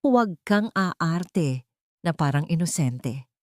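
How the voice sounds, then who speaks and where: A woman's voice: deep voice, lively intonation, takes it slowly, no room sound, studio quality. A woman with a Filipino accent voicing a spiteful, accusing young rich woman in a dramatic story. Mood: hateful accusation. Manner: hateful, accusing.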